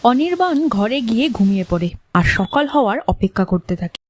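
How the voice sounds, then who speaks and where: A woman's voice: medium pitch, big pitch swings, fast. A woman narrating a scripted horror cartoon story.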